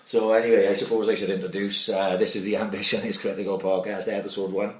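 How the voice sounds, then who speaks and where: Middle-aged podcast host, brisk sweary storyteller, deep voice, roomy distant sound. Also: male voice, flat delivery. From Wales. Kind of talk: casual chat. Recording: echoey room, distant mic.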